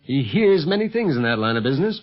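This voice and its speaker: An actor with an American accent playing a calm, confident secret agent in a 1930s radio adventure serial. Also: male voice, fairly high pitch, fast talker. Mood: measured. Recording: muffled 1930s radio recording, faint music.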